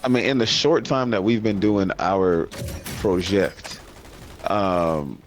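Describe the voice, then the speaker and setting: A man's voice: low voice, takes it slowly. A man co-hosting a podcast interview, talking in an informal, supportive, casual way. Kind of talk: casual chat. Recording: noticeable background noise.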